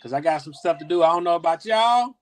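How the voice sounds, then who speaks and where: A man wrapping up a casual podcast, relaxed and slangy as he thanks the listeners. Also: fairly high pitch, fast talker, faint music behind. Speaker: podcast co-host.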